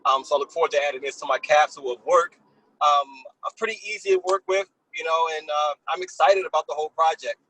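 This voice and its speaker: Bright-voiced man introducing himself haltingly. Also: on the high side, fairly even intonation, a little hiss or hum, rough recording. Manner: halting, informal. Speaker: film director. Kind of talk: casual self-introduction. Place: in a car while driving.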